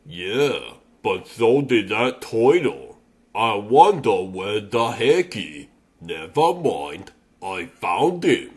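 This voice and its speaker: A man voicing a big, gruff, grumbling pig superhero in a comic dub, with music underneath. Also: lowish voice, sing-song, takes it slowly, darkish tone. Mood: grudging. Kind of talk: comic dub character acting.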